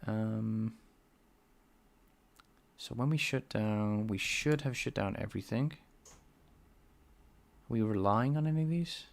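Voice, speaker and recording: A man's voice: fairly low pitch, takes it slowly, gravelly, long pauses. A man with a light Scouse accent, live-streaming game programming and chatting with viewers while he works. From England. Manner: thinks aloud, answers chat, enunciates carefully. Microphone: desk microphone.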